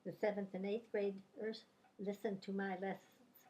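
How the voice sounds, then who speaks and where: A woman's voice: medium pitch, little pitch movement, moderate pace, warm tone, lo-fi sound. An older woman, a retired teacher, reminiscing about her life in a casual interview, telling rambling stories full of fillers and restarts. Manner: rambling anecdotal storyteller, many fillers and restarts.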